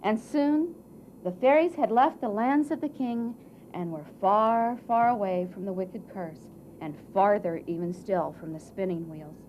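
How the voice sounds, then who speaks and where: A woman narrating a scripted fairy tale for a puppet show in a theatrical way, with dramatic pauses and emphasis. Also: medium pitch, darkish tone. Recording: muffled, faint background noise.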